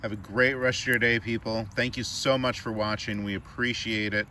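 A man in his thirties talks through camping gear in a loose, chatty way, with plenty of fillers. His voice sits very low. He is picked up from some distance on a camera mic in the woods, with faint outdoor noise behind him. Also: moderate pace. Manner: relaxed, filler-heavy, chatty. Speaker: channel host reviewing gear. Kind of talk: casual product review.

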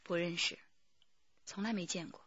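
A breathy, guarded businesswoman. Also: fairly low pitch, fairly even intonation, normal speed, fairly bright tone, lo-fi sound. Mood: firm. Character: poised, guarded businesswoman, company manager. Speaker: actress in a radio drama. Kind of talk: radio drama acting.

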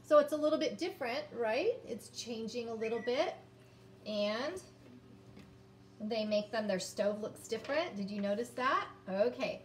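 A woman in her fifties teaching young children, sounding out words and reading aloud in a deliberate, encouraging way. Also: fairly high pitch, expressive. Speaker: early-grades teacher. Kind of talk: children's phonics lesson and read-aloud. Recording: roomy, distant mic, background noise.

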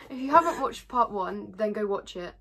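A woman's voice: mid-range voice, lively intonation, normal speed. A young woman hosting a casual video, chatting and explaining a game in a bedroom. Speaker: vlog host. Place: small bedroom indoors, sitting on a bed. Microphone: camera a couple of metres away. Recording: home camera recording with clear noise.

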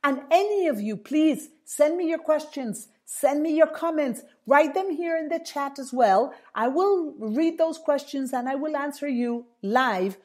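A woman hosting a solo radio talk show, hoarse and husky, earnestly explaining and repeating key points for listeners. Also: high voice, expressive, measured pace, hardly any pauses, darkish tone. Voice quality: husky, strained voice.

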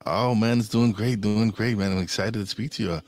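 A man's voice: fairly low pitch, steady tone, brisk, darkish tone, no room sound. A man hosting a casual podcast interview, speaking in a loose, eager way and repeating his words. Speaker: podcast host.

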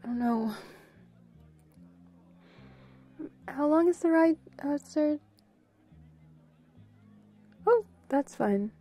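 Woman acting as a bubbly, high-voiced girlfriend. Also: slow, faint music behind. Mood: nervous, reassuring. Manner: giggly, breaks into short excited repeats. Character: bubbly, playful, affectionate young girlfriend on a date. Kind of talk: girlfriend roleplay audio, intimate second-person acting.

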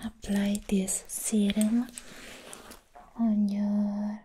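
Very slow, whispering woman in soothing ASMR roleplay. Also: mid-range voice, level tone, slight background noise. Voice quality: breathy, mostly unvoiced whisper. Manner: gentle, playful, soothing, with long pauses. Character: sweet, friendly vampire girl giving a sleep treatment.

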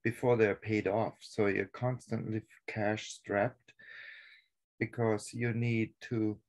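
Older guy on a webcam call, slow and halting, kinda gravelly low voice. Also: little pitch movement. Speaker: farmer giving a guest lecture. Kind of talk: unscripted video call talk. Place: home office with papers on the wall. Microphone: laptop webcam on a video call.

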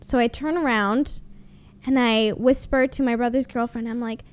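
Blunt high-voiced young woman. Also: fairly even intonation, moderate pace, smooth. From Canada. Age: young adult. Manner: blunt, sarcastic, swears freely. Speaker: podcast host. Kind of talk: casual storytelling monologue. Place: small home room, indoors. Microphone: studio mic on boom arm, close.

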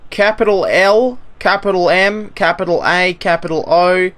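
A young man making a prank phone call in a deadpan, mock-polite way. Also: high-pitched, a little slow. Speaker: prank caller.

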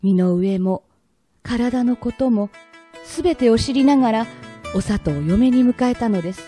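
A woman narrating a period radio drama in a formal, measured, old-fashioned storytelling manner. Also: a little high, dark tone, faint music behind.

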